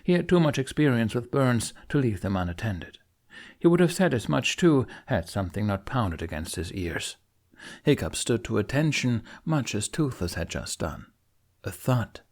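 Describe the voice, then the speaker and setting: A man narrating a fantasy adventure audiobook in an expressive, scripted storytelling style. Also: medium pitch, normal speed.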